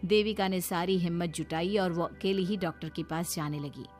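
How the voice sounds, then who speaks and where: A woman's voice: fairly low pitch, steady tone, moderate pace, darkish tone, faint music behind. A woman reading a scripted dramatic story narration for a cartoon.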